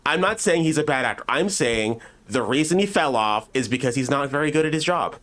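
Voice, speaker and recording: Male co-host, medium pitch. Sarcastic, profane comic ranter with mock incredulity. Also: animated, a little fast, fairly bright tone. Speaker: podcast co-host. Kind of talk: casual comedic ranting.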